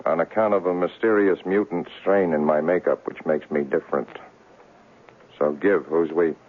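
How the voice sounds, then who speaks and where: Deep-voiced hard-boiled private eye. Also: male voice, fairly even intonation, normal speed. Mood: tough, curt. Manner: clipped slangy tough-guy talk. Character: tough hard-boiled male private investigator, cynical and cocky. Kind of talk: 1970s radio drama acting, noir narration. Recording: muffled old radio broadcast.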